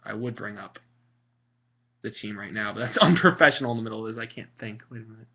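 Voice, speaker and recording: A man's voice: fast talker, creaky, husky. A young man from Italy talking casually and sarcastically about game strategy, rambling with fillers. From Italian. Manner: sarcastic, mocking, frequent fillers. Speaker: vlogger. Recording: muffled low-fi home recording.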